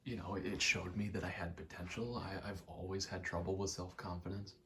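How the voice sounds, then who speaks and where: A young man in a casual, halting ASMR ramble, speaking softly and often slipping toward a whisper, with a self-deprecating, sweary manner. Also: low voice, flat delivery, a little hiss or hum. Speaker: ASMR creator. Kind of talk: rambling ASMR, casual personal chat while gaming.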